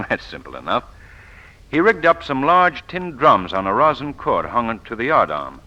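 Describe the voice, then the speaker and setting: An actor playing a suave, confident, teasing gentleman sleuth, gently condescending, in 1940s radio drama style. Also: medium pitch, big pitch swings, moderate pace. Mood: amused, confident. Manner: smooth explaining, gently condescending. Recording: muffled vintage radio broadcast.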